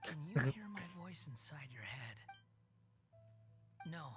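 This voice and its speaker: A sardonic, weary wanderer god. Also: male voice, on the high side, expressive, slow pace, husky, exaggerated voice. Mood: cool. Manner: cold, sardonic, quiet. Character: bitter, proud young male puppet-god wanderer. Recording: game audio with soft music.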